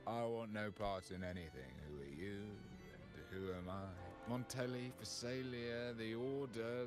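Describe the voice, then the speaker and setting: An actor playing a drunken, lovesick bard in a video game, declaiming in florid, anguished theatrical style. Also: male voice, mid-range voice.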